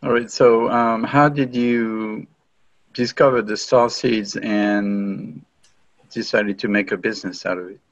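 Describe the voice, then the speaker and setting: A man's voice: fairly low pitch, fairly even intonation, a little slow, no echo. A man hosting an interview show, asking plain, courteous questions. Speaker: podcast host. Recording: dry, dull lo-fi sound.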